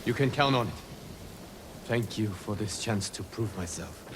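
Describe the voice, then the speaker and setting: An actor playing a humble, earnest bandit who wants redemption, in a video game. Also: male voice, on the low side, a little slow, noisy background, close to an everyday voice. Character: humble, earnest bandit seeking to redeem himself. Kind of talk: dramatic video game acting.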